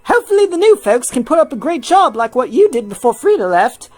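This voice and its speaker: Woman voicing Alpha, a high, eager helper. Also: sing-song, brisk, darkish tone. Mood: hopeful. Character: Alpha, friendly eager helper and old companion.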